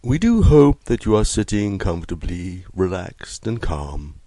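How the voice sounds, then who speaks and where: A man's voice: low voice, big pitch swings, measured pace, dark tone, slight background noise. A man announcing a radio segment in a grandly exaggerated, theatrical manner.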